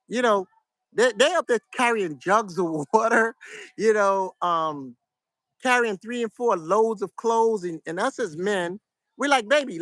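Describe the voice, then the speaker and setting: A middle-aged man from New York with a New York accent, giving casual, soft-spoken advice to his viewers in a fairly flat, monotone way. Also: sits high, dry recording. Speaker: vlogger.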